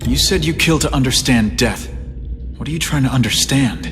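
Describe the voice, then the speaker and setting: An actor voicing a young male masked superhero, sarcastic and quick with jokes but firm. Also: mid-range voice, close to an everyday voice. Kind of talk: video game cutscene voice acting. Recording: game audio with loud music.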